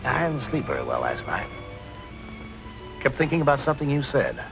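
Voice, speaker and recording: A film noir police captain who probes and sidesteps. His medium-pitched voice moves up and down freely in 1940s screen manner, with clear music behind it on an old soundtrack. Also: huge pitch swings, a little fast, poor audio. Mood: probing.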